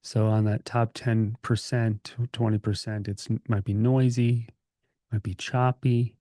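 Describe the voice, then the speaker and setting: A man leading a guided meditation as a teacher, speaking in a gentle, calming, informal way with pauses. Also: on the low side, no room sound, clean studio sound. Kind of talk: guided meditation, conversational and unscripted.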